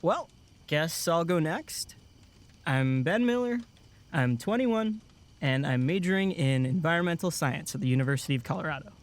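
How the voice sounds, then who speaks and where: A man's voice: fairly high pitch, expressive, normal speed, lightly acted. An actor playing a friendly, eager 21-year-old male camp counselor in an audio drama, good-natured and casual.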